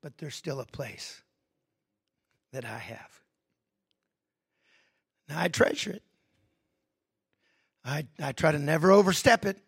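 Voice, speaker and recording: Gravelly-voiced man preaching a sermon. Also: fairly high pitch, lively intonation, takes it slowly, pauses often, no room sound, clean studio sound. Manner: builds from quiet reflection to loud emphatic preaching. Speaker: pastor.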